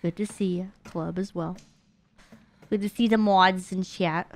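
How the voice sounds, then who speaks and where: A woman's voice: on the low side, steady tone, a little slow. A young woman giving casual live gaming commentary in a playful, slangy, chatty way. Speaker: streamer. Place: indoor streaming room. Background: horror game sounds.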